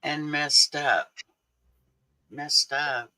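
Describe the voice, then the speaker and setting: An older man in his sixties chats casually with viewers on a livestream, laughing often. His delivery is slow and unhurried. His tone is very bright and forward. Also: fairly high pitch, fairly even intonation, poor audio. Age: 60s. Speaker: livestream host.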